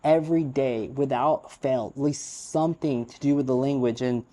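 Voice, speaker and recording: Guy vlogging off the cuff, blunt and opinionated, lots of likes and ums, mellow warm tone. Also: medium pitch, moderate pace. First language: English. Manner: frank, opinionated, rambling with fillers. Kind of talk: unscripted casual monologue.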